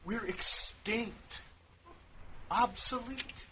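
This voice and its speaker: A man's voice: very high voice, very slow, pushed and bright, full character voice. An actor playing a sober, bitter male doctor in a radio play, speaking with exasperated emphasis. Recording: dull lo-fi recording, faint noise.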